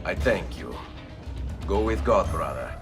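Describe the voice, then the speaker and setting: Steady italian-accented young assassin, bit distant from the mic, big score playing under. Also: male voice, low voice, measured pace, over music. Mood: resolute. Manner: steady, determined. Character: bold young male Italian assassin, resolute. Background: orchestral score.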